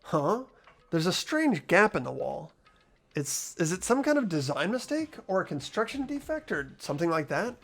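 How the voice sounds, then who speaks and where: Amateur acting a hesitant schoolboy, earnest, lively intonation, thinking aloud, visual novel game, faint music behind. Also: mid-range voice, normal speed. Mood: curious. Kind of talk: visual novel voice-over reading.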